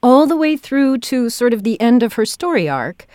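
A woman's voice: fairly high pitch, very animated, moderate pace, a little mellow, no echo, clean studio sound. A woman hosting a casual interview podcast, speaking effusively and thoughtfully, feeling her way through her phrases. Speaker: podcast host, director.

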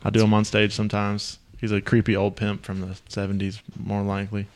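A man, a comedian guest, telling stories in a casual, slangy, deadpan chat. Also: on the low side, brisk.

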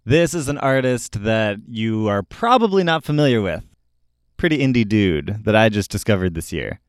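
A man's voice: on the low side, sing-song, normal speed, smooth, dry recording, clean studio sound. A man hosting a music review show, talking casually and enthusiastically about albums in an informal, opinionated way. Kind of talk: casual album recommendation talk.

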